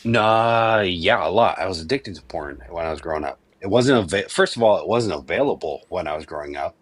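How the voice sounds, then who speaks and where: A man's voice: sits low, animated, brisk, no room sound. Earnest man near forty, dry sound. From Venezuela. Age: 30s. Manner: earnest, emphatic, restarts often. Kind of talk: casual debate chat.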